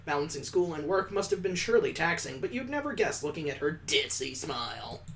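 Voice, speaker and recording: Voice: medium pitch, big pitch swings, brisk, fairly bright tone, a little hiss or hum. A let's-play host reading a visual novel aloud in a dramatic narrating style, breaking off into wry, incredulous, casual asides.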